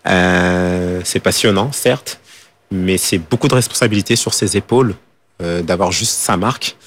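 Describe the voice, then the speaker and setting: Créateur de mode, voix assez grave, réponses d'interview hésitantes. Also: male voice, deep voice, normal speed, a little hiss or hum. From France. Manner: hesitant, many fillers and restarts. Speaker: fashion designer and school founder. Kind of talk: interview answers.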